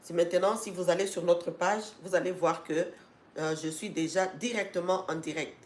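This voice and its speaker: A woman's voice: on the low side, fairly even intonation, normal speed, darkish tone. Congested woman host, live-stream talk to viewers, filler-heavy, urgent at times, echoey room. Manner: talks with many fillers and restarts, grows emphatic and urging. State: congested with a cold. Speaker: host of an educational channel.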